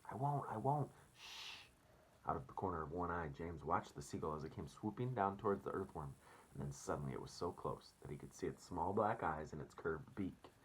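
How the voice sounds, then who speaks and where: A man's voice: sits low, a little fast, slightly rough, rough recording. A man reading a storybook aloud as a teacher, expressively, and addressing children casually.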